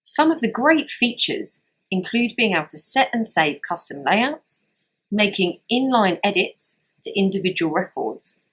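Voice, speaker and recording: A woman narrating a scripted software tutorial as a trainer, explaining things step by step in an instructional way. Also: mid-range voice, big pitch swings, a little slow, slightly rough, low-quality recording.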